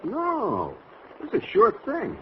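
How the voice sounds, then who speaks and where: An actor playing an eager, shifty, penniless sidekick in a vintage radio comedy, scheming with mock dignity. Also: male voice, high voice, wildly swooping pitch, takes it slowly, heavily acted. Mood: confident, eager. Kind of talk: 1940s-50s radio comedy acting before a live audience. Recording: muffled, slightly hissy vintage radio recording.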